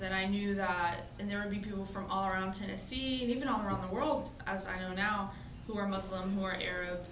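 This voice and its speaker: A woman in her twenties, a college student, telling her own story in a relaxed, reflective interview, often pausing with "um" and "you know". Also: medium pitch, noisy background. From born in Syria, raised in the US. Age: 20s. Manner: conversational, reflective, frequent "um" and "you know" fillers. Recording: roomy echo.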